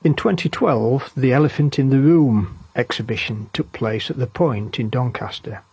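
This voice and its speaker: A man with a husky voice reading scripted informational narration. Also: mid-range voice, normal speed, darkish tone.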